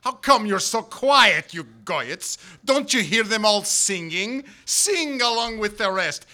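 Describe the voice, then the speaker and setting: A man's voice: high voice, lively intonation, slow, sharp tone, voice pushed up, heavily acted. Man doing a sing-song taunting old rebbe. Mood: mocking. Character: stern mocking old male Hebrew schoolteacher.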